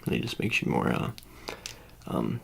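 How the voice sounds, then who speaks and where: ASMR performer as a casual alien handler: soft, rambling, lively in pitch, slipping into whispers over clear background noise. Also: normal speed. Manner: hesitant, rambling, casual reassuring asides. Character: offhand handler preparing an alien for public exposure. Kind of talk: improvised ASMR roleplay, soft-spoken with whispers.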